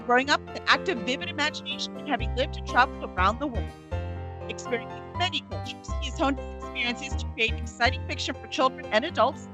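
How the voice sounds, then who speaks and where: A woman's voice: high voice, fast, music in the background. A woman hosting an interview podcast in an upbeat, casual and playful way, with some mock-serious joking. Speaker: podcast host and editorial director. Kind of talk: upbeat scripted intro and casual interview chat.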